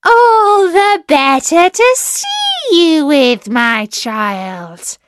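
A woman voicing a sly, hungry male wolf in a storybook, coaxing and predatory, with drawn-out theatrical phrasing. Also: high voice, lively intonation, no echo, clean studio sound, voice pushed up, heavily acted. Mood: menacing glee.

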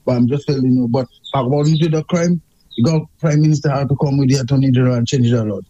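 Emphatic Saint Lucian caller on dull line. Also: male voice, mid-range voice, quick pace, dark tone. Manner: emphatic, repetitive, complaining. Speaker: radio caller. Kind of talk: call-in radio chat.

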